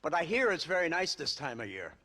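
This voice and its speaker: An actor playing a smug, menacing government lawman in a game, with an American accent, a husky voice and condescending mock politeness. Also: fairly high pitch, sing-song, fairly quick, pushed and bright, heavily acted. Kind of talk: video game cutscene acting.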